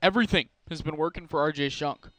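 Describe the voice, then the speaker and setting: A man giving color commentary on a baseball game, breaking down each pitch and swing. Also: fast talker, fairly bright tone, no room sound.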